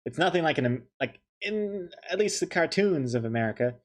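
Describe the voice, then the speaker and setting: A man's voice: fairly high pitch, lively intonation, fairly quick. A young man chatting casually on a stream, joking and thanking viewers as he goes. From New Zealand. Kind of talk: casual chat while drawing on stream.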